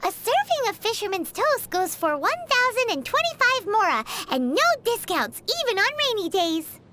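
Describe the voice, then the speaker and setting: Squeaky, very high little fairy companion. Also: female voice, sing-song, normal speed, heavy background noise. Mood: eager. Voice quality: squeaky, childlike. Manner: perky, refers to self in third person. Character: tiny chirpy floating fairy companion, childlike, eager and easily miffed. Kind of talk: video game voice acting.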